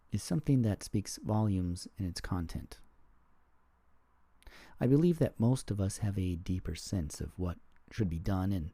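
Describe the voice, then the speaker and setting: A man hosting his own podcast, speaking in a thoughtful, reflective monologue and sometimes pausing to search for words. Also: sits low, mellow, dry recording. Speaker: podcast host.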